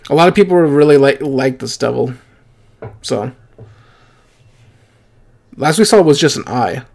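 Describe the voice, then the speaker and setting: Young guy rambling thru a reaction vid at his desk, gravelly voice, lots of uhs and ums. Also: mid-range voice, a little slow, darkish tone. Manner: chatty, rambling with fillers and restarts. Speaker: anime reaction channel host. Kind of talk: casual reaction commentary. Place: small room at home, indoors.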